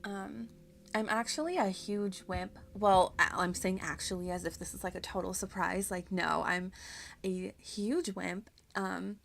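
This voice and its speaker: Casting: female game streamer, gravelly fry, casual and rambling, giggly, self-mocking delivery. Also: mid-range voice, steady tone, measured pace, fairly bright tone, slight background noise. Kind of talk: casual livestream chat over gameplay.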